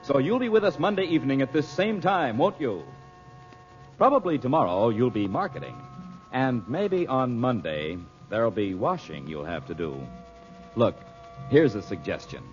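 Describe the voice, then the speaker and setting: A man announcing in vintage radio style, delivering a serial sign-off and sponsor pitch. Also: very animated, moderate pace, faint music behind. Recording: dull vintage radio recording.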